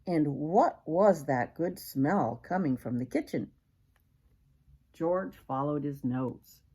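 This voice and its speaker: A woman's voice: low-pitched, lively intonation, slow pace, mellow, husky. An older woman reading a children's storybook aloud expressively, with dramatic emphasis and asides to young listeners. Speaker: grandmother reading a picture book aloud.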